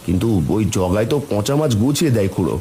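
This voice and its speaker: A man as a fast, animated village farmer. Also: fairly low pitch, a little hiss or hum. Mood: concerned. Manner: familiar, expressive. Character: worried village farmer, husband and father. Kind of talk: cartoon voice acting.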